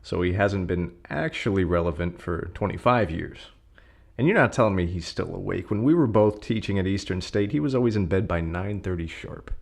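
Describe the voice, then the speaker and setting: A man's voice: low-pitched, animated, fairly quick, vocal fry, heavily acted. A man acting a flirtatious, teasing academic colleague in an audio play, with casual, joking banter.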